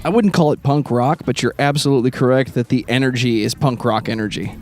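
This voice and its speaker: Wry guy cohosting a music podcast, normal pitch voice, casual, quiet music in background. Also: fast talker. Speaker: podcast co-host.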